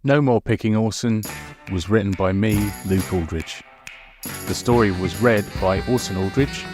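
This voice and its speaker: A man's voice: lowish voice, normal speed, darkish tone, faint music behind. A man hosting a podcast, speaking to listeners as its writer and presenter. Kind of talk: podcast presenting, credits and informal asides.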